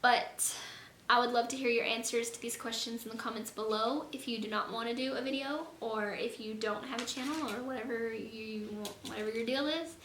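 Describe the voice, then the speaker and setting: A young woman with a hoarse, fading voice, talking earnestly and rambling a little in a casual faith vlog. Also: on the high side, steady tone, a little fast, fairly bright tone. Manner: earnest, rambling, lots of fillers. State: hoarse, losing her voice. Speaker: vlogger. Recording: echoey room, faint noise.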